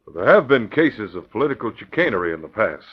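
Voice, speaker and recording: An actor playing a measured, diplomatic police commissioner in 1940s radio style. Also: male voice, lowish voice, sing-song, breathy edge. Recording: muffled vintage radio recording.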